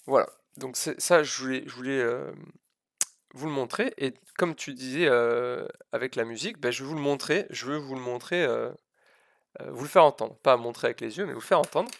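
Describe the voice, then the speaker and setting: Gravelly-voiced man teaching physics live. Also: mid-range voice, a little fast, no room sound, clean studio sound. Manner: hesitant, self-deprecating, repeats and stresses key words. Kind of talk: informal live lesson, explaining a demonstration.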